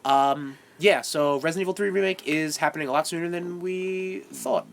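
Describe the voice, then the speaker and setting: A man co-hosting a casual podcast, stuffed up and nasal from a cold, joking and quick with self-mocking asides. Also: fairly high pitch, vocal fry, a little hiss or hum. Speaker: podcast co-host.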